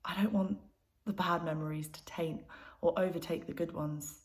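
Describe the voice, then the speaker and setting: A woman's voice: on the low side, fairly even intonation, normal speed, lightly acted. A young woman acting an intimate, conversational monologue as a troubled granddaughter confiding in her mother. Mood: sad, subdued. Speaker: actor performing a self-written monologue.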